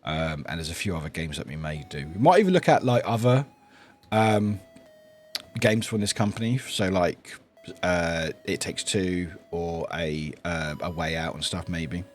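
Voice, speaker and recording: A man's voice: deep voice, expressive, a little slow, a little hiss or hum. A man chatting casually with viewers on a livestream, rambling with frequent ums and restarts. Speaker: streamer.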